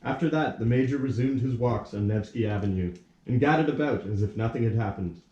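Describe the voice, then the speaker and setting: A man narrates a puppet-theatre tale in a mellow, medium-pitched voice. His storytelling is formal and measured, like a storybook read aloud, in a room with plenty of echo. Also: lo-fi sound. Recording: echoey room.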